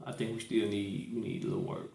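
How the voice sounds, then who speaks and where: A man's voice: lowish voice, level tone, fast, creaky, mellow, echoey room. A man in his thirties, a podcast co-host in casual chat, talking in a laid-back, rambling way with lots of fillers. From St. Louis, Missouri. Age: 30s.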